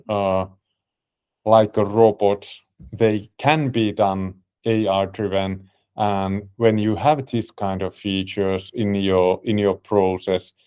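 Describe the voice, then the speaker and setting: A man's voice: lowish voice, darkish tone, smooth. A man with a Finnish accent, a native Finnish speaker, hosting a business webinar and presenting in English with many fillers and restarts. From Finland. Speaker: webinar host and company CEO.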